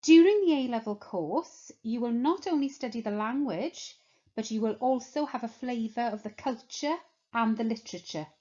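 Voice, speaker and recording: A woman teacher sets out course details in a measured, explanatory way, slowing down to list points one by one. Her voice sits a little high, and the recording sounds dull and lo-fi. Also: animated, dark tone. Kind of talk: course information talk.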